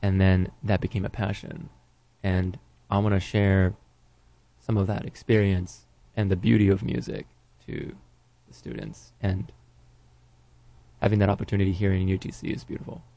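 Man, Japanese native speaker, very low pitch, gravelly, monotone, halting English. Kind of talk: casual interview answer.

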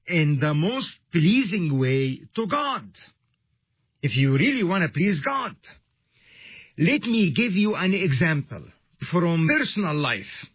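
Guy preaching on radio, slow and earnest, husky voice, kinda muffled lo-fi. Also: fairly high pitch, no room sound. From Egypt. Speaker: radio ministry host. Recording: dull lo-fi radio sound.

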